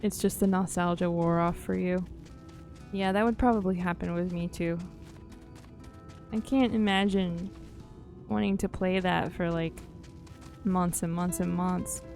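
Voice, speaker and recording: Mellow, unhurried woman chatting on a livestream. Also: on the low side, fairly even intonation, vocal fry. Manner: informal, musing, often trailing off. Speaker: live game streamer. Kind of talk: casual livestream chat while playing a game. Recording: game music behind the voice.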